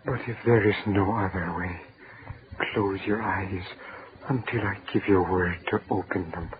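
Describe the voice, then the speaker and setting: The mournful ghost of a drowned young man speaks slowly in a lowish, weary voice. It comes through a tinny old broadcast over clear hiss. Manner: slow, mournful. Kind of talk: old-time radio drama acting.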